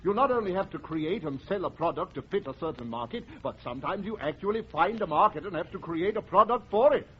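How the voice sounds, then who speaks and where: An actor playing a pompous, easily flustered advertising executive in a 1940s radio comedy. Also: male voice, sits high, expressive, fast talker, hardly any pauses. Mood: self-important. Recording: muffled, noisy 1940s radio, studio audience.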